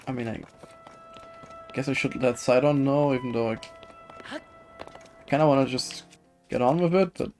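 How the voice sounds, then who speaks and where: Game streamer, mid-pitched voice, casual off-the-cuff commentary, rambling and hesitant. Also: normal speed, pauses often, darkish tone, soft background music. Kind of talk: casual live gameplay commentary, chatty.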